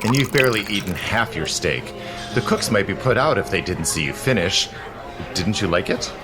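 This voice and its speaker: An actor playing a suave, teasing 19th-century gentleman in an audio drama, urbane and theatrical in manner. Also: lowish voice, animated, moderate pace, close to an everyday voice. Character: suave, teasing immortal gentleman protector, secretly seventy-two. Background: faint music.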